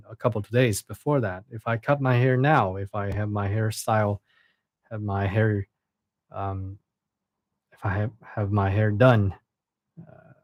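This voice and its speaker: A young man with a Chinese accent chats casually on a live stream, soft-spoken and a little hesitant, often doubling back on his words. His voice sits on the low side. The sound is dry and close, with no room echo. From China. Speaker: live streamer reading stories.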